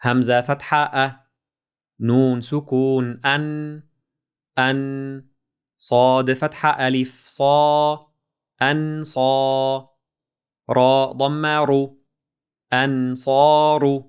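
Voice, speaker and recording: A man leads a spelling drill as a teacher, sounding out each syllable deliberately. His delivery is extremely slow and level, with little movement in pitch. The voice is very clean and resonant, and every sound is precisely articulated. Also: level tone, clear, resonant tone. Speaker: Arabic reading and spelling teacher.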